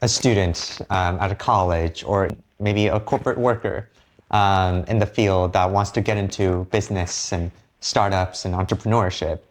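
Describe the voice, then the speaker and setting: A man hosting a podcast interview, asking short questions and giving quiet affirmations. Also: deep voice, fairly even intonation, normal speed. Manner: brief questions, many soft affirmations. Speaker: podcast host.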